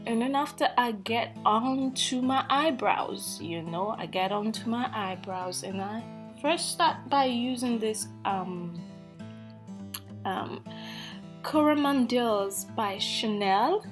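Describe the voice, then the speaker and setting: A woman from Africa who lives in Norway, with an African English accent tinged by Norwegian, talking slowly and hesitantly through a casual makeup tutorial with many fillers. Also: on the high side, lively intonation. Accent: African English with Norwegian influence. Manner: slow, hesitant, self-deprecating, many fillers. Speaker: beauty vlogger. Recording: faint background guitar music.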